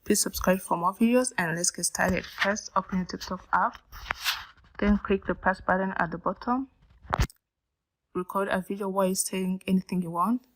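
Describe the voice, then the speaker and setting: A woman's voice: medium pitch, moderate pace, slight background noise, poor audio. A woman hosting a how-to tutorial, giving step-by-step instructions. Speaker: tutorial host.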